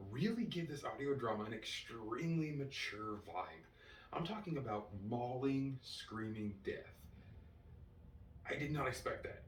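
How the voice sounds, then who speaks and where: A man records an excited fan review from some way off the mic in a roomy space, with faint noise underneath. His voice is medium in pitch, and he leans hard on key words, stretching them out for emphasis. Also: recorded from a distance. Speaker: reviewer and host. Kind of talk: enthusiastic fan review monologue.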